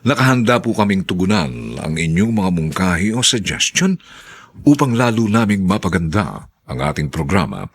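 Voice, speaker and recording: A man narrating a radio drama as its announcer, reading scripted lines and credits in a gravelly, husky voice. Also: sits low, big pitch swings, moderate pace.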